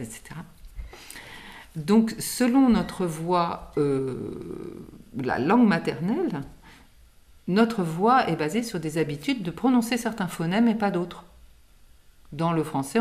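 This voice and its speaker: Woman from france, slightly low voice, singing teacher, patient explainer, everyday examples, light laughs. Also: darkish tone. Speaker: singing teacher and author, guest. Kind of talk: interview explanation.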